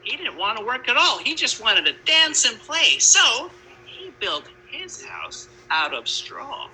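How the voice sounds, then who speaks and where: A woman narrates a storybook tale at a slow pace. Her voice is very bright and expressive, rising and falling freely, with wry little asides. There is faint noise on the recording. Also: fairly high pitch, low-quality recording.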